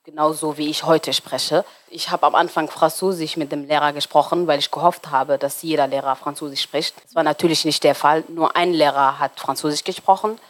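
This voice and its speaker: A woman in her twenties from Somalia, German not her first language, talking as an interview guest and often pausing on fillers while searching for words. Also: deep voice, fairly even intonation. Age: 20s. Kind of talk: casual interview talk.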